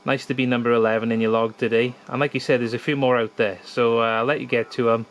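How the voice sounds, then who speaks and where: A man's voice: mid-range voice, flat delivery, fast talker, a little hiss or hum. A man chatting in an easygoing way during a casual amateur radio contact.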